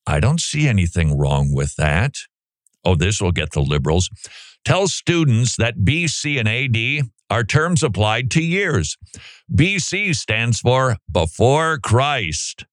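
A man's voice: mid-range voice, big pitch swings, slow, no room sound. A male commentary host reading a text aloud and reacting with sarcastic, incredulous asides.

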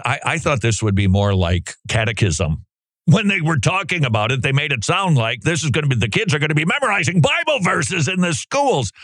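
Animated sarcastic male radio host. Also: mid-range voice, dry recording, studio quality. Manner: animated, mocking, sarcastic asides. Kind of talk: commentary on a curriculum, reading excerpts aloud.

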